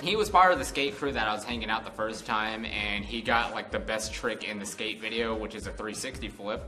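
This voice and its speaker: A man's voice: on the low side, brisk, over loud music. An American man in his twenties telling a casual, unscripted story in a rambling, conversational way. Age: 20s. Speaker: vlog host.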